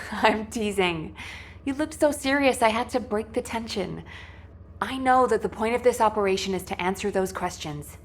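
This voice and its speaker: A woman's voice: mid-range voice, normal speed, close to an everyday voice. A woman playing a confident, steely but teasing soldier in a sci-fi video game. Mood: teasing confidence.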